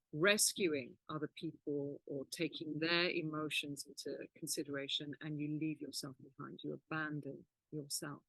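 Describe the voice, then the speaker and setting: Calm woman explaining in a dry voice. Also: deep voice, no room sound, poor audio. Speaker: healing course teacher and co-host. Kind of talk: teaching conversation.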